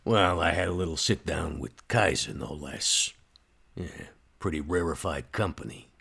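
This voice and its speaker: A man puts on a hard-boiled vampire tough guy for a tabletop game. The voice is extremely deep, gravelly and husky. He talks in blunt street slang. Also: unhurried, a bit bright, full character voice. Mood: businesslike.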